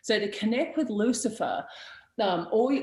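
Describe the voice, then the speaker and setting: A woman's voice: on the high side, animated, measured pace, a little mellow. A woman hosting a witchcraft talk show, answering viewer questions in a blunt, chatty way with frequent laughs, fillers and restarts. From Australia. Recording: roomy echo.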